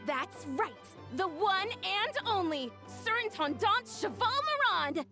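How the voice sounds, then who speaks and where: An excitable young woman in a video game. Her voice is extremely high and swings widely as she gushes politely. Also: unhurried, soft background music, full character voice. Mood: gushing. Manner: effusive, breathlessly excitable, polite. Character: excitable, gushing young woman event organiser. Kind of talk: video game character acting.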